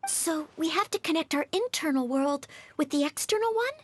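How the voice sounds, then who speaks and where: Super high girly game knight, eager to help, polite n bubbly, really lively intonation. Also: normal speed, no echo. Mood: excited. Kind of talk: scripted video game dialogue.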